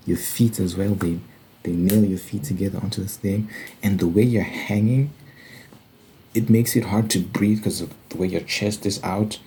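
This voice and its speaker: A man's voice: on the low side, creaky, warm tone, a little hiss or hum, poor audio. A man giving an informal, earnest Bible study monologue in an ASMR style, repeating phrases for emphasis.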